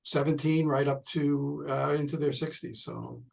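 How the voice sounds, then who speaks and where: A man in his late sixties, a teacher on a panel, talking in a hesitant, halting, conversational way. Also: fairly high pitch, steady tone, vocal fry, a bit bright. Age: late 60s.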